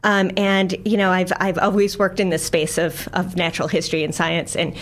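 A woman's voice: on the low side, little pitch movement, normal speed. A middle-aged American woman from the United States, a science filmmaker talking as a radio guest, enthusiastic with frequent laughs and many fillers. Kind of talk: radio interview.

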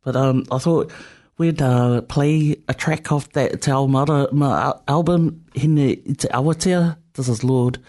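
A man hosting a community radio chat. He is thoughtful and easygoing and pauses often. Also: medium pitch, fairly even intonation, darkish tone. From New Zealand. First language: Samoan. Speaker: radio host.

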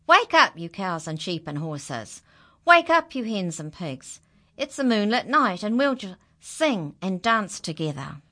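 A woman voicing a grumpy farmer who shouts at his animals, bossy and loud in a storybook reading. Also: low-pitched, measured pace, hardly any pauses. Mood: excited.

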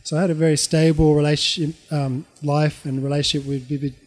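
A middle-aged Australian man shares a personal story in a fairly high male voice. He speaks informally and reflectively, halting often as he searches for the next phrase. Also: level tone.

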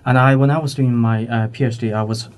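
A man hosts a relaxed podcast chat in a mellow, warm voice. His English is halting, with frequent "uh" pauses and non-native turns of phrase. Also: mid-range voice. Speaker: host.

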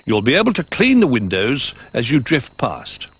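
A man voicing a kindly older male toy bear who speaks gently and reassuringly. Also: medium pitch, huge pitch swings, moderate pace, breathy edge, no room sound, voice pushed up, full character voice.

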